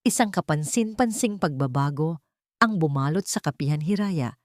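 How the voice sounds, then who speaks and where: A woman's voice: deep voice, animated, moderate pace, no echo, studio quality. A woman with a Filipino accent reading a scripted dramatic story as its narrator.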